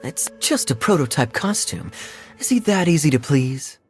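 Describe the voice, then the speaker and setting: A woman's voice: sits low, lively intonation, moderate pace, husky, soft background music. A woman voicing a cool, wry, easygoing young female bandit in an anime game. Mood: wry, amused. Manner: wry, nonchalant. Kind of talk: English anime game voice acting.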